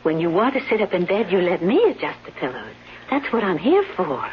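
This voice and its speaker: A woman's voice: lowish voice, big pitch swings, a little fast, slight background noise. An actress playing a devoted older nurse, motherly, firm and coaxing, in 1970s radio drama style. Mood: firm, protective. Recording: dull, humming 1970s radio recording.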